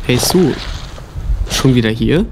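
A man's voice: medium pitch, expressive, slow, darkish tone, slight background noise. A man playing a kind, concerned young guard in an audio drama.

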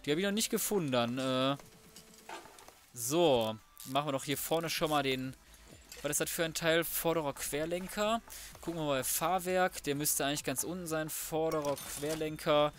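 A man's voice: fairly high pitch, moderate pace, faint music behind. A man giving casual, slangy gameplay commentary, chatting through each step as he goes. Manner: chatty, self-narrating, slangy. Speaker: let's player commentating.

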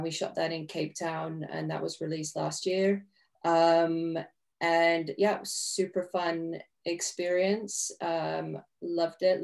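Gravelly low woman, chatty panelist. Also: darkish tone. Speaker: writer-director panelist. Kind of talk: casual panel talk. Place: home room, video call. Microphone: video call.

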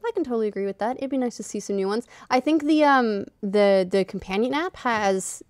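A woman's voice: fairly high pitch, expressive, a little fast, a little mellow, dry recording. A woman in her thirties chatting casually about games as a podcast co-host, close on a studio microphone. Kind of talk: casual gaming chat. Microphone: desk studio microphone, close.

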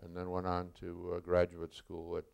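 An older man from Milwaukee, a retired chemistry professor, recalling his life in an oral history interview, speaking slowly with many pauses and fillers. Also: deep voice, completely flat delivery, gravelly, darkish tone, smooth. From Milwaukee, Wisconsin.

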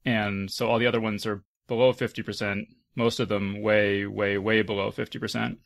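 A man's voice: fairly low pitch, level tone, normal speed, vocal fry. A man hosting a casual podcast discussion and steering the conversation. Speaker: podcast host.

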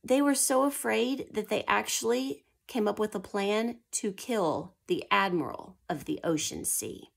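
A woman's voice: medium pitch, measured pace, vocal fry, few pauses, husky. A woman teaching history to young children, reading aloud and stopping to ask and answer her own questions, sometimes dropping to a whisper. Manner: asks children questions and answers them herself; drops to a whisper for suspenseful parts.

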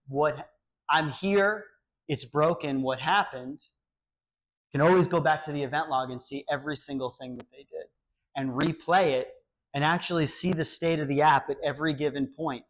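Animated man giving a tech talk. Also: on the high side, low-quality recording. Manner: animated, explains with quoted example dialogue. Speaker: meetup speaker. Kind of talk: technical talk with Q&A. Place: small cafe, indoors.

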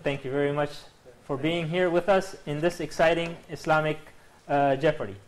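Upbeat quiz show host, slightly high voice. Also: male voice, steady tone, normal speed, crowd noise. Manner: upbeat, formal greetings, reads clues aloud. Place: TV studio set.

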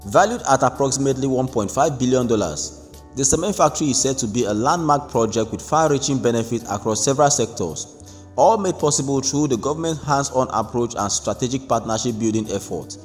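A man narrating a scripted news report in a steady, even read-aloud delivery. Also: mid-range voice, brisk. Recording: long reverb with faint background music.

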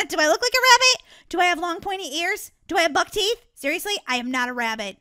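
A woman voicing excitable, dramatic young sisters in a gaming roleplay skit. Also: very high-pitched, fast, full character voice. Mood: bickering. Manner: dramatic, whiny, exclaiming. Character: excitable, dramatic girl gamer and her bickering sister.

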